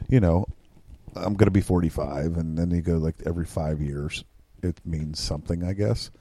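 A man's voice: deep voice, steady tone, moderate pace, dark tone, no echo. A man in his forties co-hosting a casual chat, hesitant and rambling, often restarting his sentences. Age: 40s. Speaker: podcast co-host.

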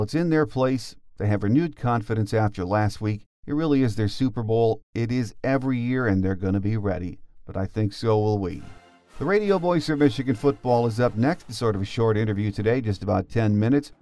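Low-voiced American male sports podcast host. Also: a little mellow. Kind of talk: scripted sports commentary and interview.

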